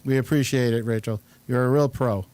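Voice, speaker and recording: A man's voice: medium pitch, steady tone, brisk, a little mellow. A man hosting a talk show, chatting casually with a rambling, informal and complimentary manner. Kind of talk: casual studio chat, introducing guests.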